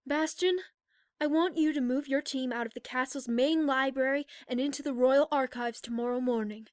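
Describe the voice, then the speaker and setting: Woman acting regal, high-voiced pony princess. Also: steady tone, a little mellow, no room sound, clean studio sound, close to an everyday voice. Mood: firm, composed. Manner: formal, measured, composed. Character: regal, grave adult female alicorn pony princess. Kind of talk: scripted audio drama acting.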